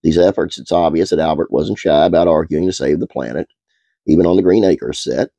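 Stuffed up middle-aged guy doing a trivia vid at home, gravelly dark voice, lots of uhs. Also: a bass voice, no echo, muffled recording. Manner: relaxed, halting with frequent uhs. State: congested, stuffed-up nose. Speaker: host. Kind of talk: casual trivia narration.

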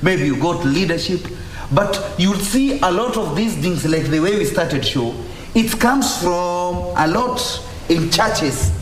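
A man's voice: high-pitched, reverberant, a little hiss or hum, poor audio. A man with a Kenyan accent, a religious leader giving impassioned, repetitive, exhorting answers in a TV interview. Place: TV studio. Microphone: lapel mic.

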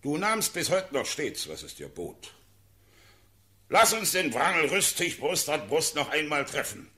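Forceful old cavalry colonel. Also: male voice, a little high, sing-song, unhurried, exaggerated voice. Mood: bold insistence. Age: older. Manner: deferential yet forceful, declamatory. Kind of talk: German classical verse radio drama.

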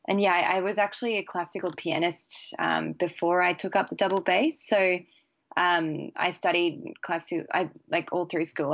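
A young woman, a bassist chatting casually as a podcast guest in a relaxed, easy way. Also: vocal fry, muffled recording. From Australia. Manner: relaxed, chatty, laughs easily. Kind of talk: casual interview chat.

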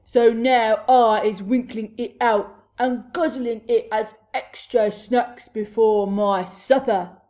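A woman voicing a huge, gruesome male giant in a storybook, a booming brute who bellows in broken grammar and nonsense insults. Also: steady tone, slow pace, few pauses, warm tone. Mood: gloating, threatening. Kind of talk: storybook read-aloud character voice.

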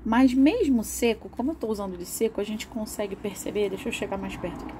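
Slightly hoarse chatty woman. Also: medium pitch, fast, noisy background. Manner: chatty, rambling, with laughs and restarts. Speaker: art channel host. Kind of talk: casual chat demonstrating coloring.

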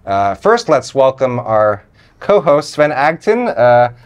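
A man's voice: mid-range voice, very animated, takes it slowly, smooth, lo-fi sound. A man in his forties hosting a talk show, giving a welcome and introducing guests with some fillers. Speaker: host. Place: studio with city-view windows.

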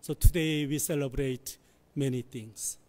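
A pastor in his forties with a slightly husky voice, preaching a sermon slowly and carefully from a church pulpit. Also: mid-range voice, steady tone, warm tone. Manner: slow, measured preaching with careful phrasing. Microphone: pulpit microphone.

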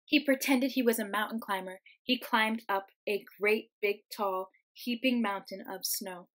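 A young woman, a teacher reading a children's storybook aloud, expressive and explaining things simply for young listeners. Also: mid-range voice, measured pace. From United States. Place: small room at home, indoors.

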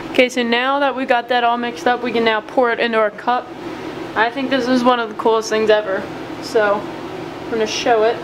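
Upbeat woman, air-conditioner hum. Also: fairly high pitch. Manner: chatty, upbeat, filler-heavy. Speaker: bait maker showing her process. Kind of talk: casual hands-on tutorial. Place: home workshop bench.

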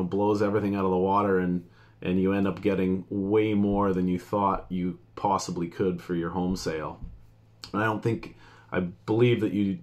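Male realtor, 40s, fairly low voice, conversational and emphatic explainer delivery. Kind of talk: informal explainer talk to camera. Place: small indoor office.

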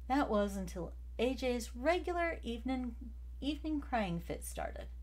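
A woman's voice: on the high side, sing-song, measured pace, darkish tone. A woman narrating a story from a script as an amateur audiobook reader, occasionally stumbling over a word. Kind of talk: scripted fiction narration, read aloud.

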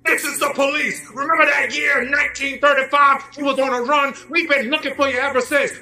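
An accusing policeman played by a man who shouts in a high, excited voice. The delivery is loud and declamatory, like a comic radio play, with music behind. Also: brisk, echoey room, exaggerated voice.

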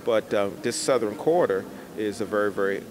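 Quick-talking middle-aged man, lowish voice. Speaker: interviewed professional artist. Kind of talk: conversational interview answers. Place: indoor art expo in a convention center. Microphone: handheld interview microphone. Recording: busy exhibition hall crowd behind. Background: crowd noise.

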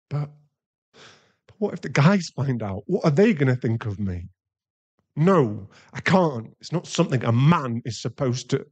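A man acting a remorseful, tender werewolf boyfriend in an intimate ASMR roleplay, halting and emotional, often breathy. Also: mid-range voice, darkish tone, exaggerated voice. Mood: troubled, resolving. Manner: halting, emotional, pleading, with sighs and breathy drops into whispers.

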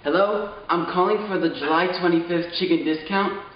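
A man's voice: high-pitched, brisk. A teenage male talking casually with his friends. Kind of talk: casual chat. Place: wood-walled cabin living room. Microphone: distant camera microphone. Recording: very echoey, distant, dull, noisy audio.

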